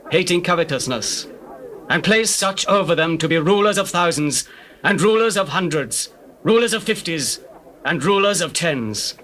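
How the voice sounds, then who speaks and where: An actor playing a wise, elderly biblical father-in-law in a solemn, measured scripture drama. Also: fairly high pitch, fairly bright tone, low-quality recording. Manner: solemn, measured, counselling delivery. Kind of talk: dramatized King James scripture acting.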